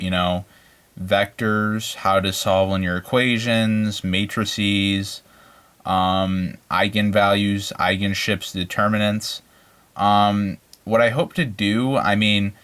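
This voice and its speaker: A man's voice: fairly low pitch, a bit bright, clear, resonant tone. A man giving an informal, unscripted introduction to an online maths course, rambling and hesitant, with plenty of filler phrases. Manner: rambling, hesitant, peppered with "you know" and "like". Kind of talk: informal, unscripted lecture talk.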